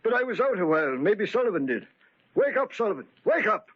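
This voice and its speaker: An actor playing a flippant, folksy hotel regular in an old-time radio drama, with a husky voice. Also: male voice, sits high, very animated, brisk, fairly bright tone, lo-fi sound, exaggerated voice. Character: wisecracking, callous hotel lobby regular.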